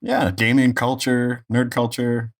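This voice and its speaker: A man's voice: mid-range voice, level tone, measured pace. A man chatting casually and jokily as a podcast guest. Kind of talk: casual chat.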